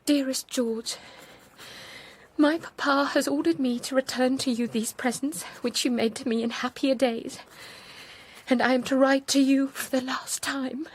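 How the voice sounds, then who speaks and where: An actress playing a gentle, devoted young woman, speaking tenderly and earnestly in a period radio drama. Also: sits high, fairly even intonation, a little slow. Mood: sorrowful. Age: young adult.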